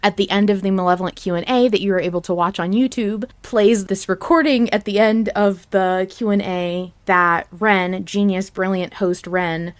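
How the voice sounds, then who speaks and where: A woman's voice: medium pitch, fairly even intonation, moderate pace, few pauses. A woman hosting a podcast, talking casually and with a fan's enthusiasm about a fiction show. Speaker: podcast host.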